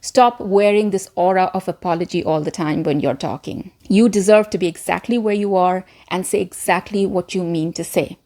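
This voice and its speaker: A woman presenting an instructional talk as a voice coach, speaking directly and encouragingly to viewers with deliberate stress on key words. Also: on the low side, warm tone.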